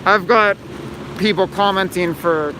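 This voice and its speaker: Brief: hoarse male street streamer, higher voice, traffic noise behind. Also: measured pace. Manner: calm, chatty commentary while walking. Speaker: streaming journalist. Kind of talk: live street livestream narration. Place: city street outdoors. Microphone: handheld phone camera. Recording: loud street noise outdoors.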